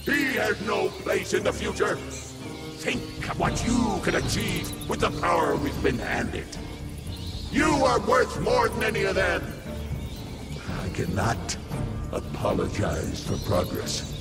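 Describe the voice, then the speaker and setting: Menacing older game villain, gravelly growl, wildly swinging pitch, grandiose preaching, loud music underneath. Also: male voice, very animated, measured pace, exaggerated voice. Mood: domineering, angry. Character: menacing, zealous villainous father of grown son, turned monstrous. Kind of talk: dramatic video game acting.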